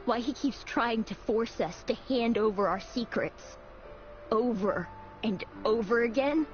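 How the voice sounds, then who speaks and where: An actress playing an embittered, vengeful rebel of a fallen dynasty, sardonic and fervent, in a dramatic video game style. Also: female voice, fairly high pitch, a little slow, close to an everyday voice. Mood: bitter, menacing. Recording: dull game audio under loud music.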